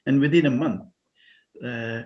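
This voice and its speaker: A man's voice: mid-range voice, measured pace, muffled recording. Originally from Singapore, this man speaks as a guest on a video call in a mid-range voice. He talks in a hesitant, searching way, restarting phrases and leaning on "you know" while recounting his path. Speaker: guest, spiritual healer and meditation teacher. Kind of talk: casual interview talk over a video call.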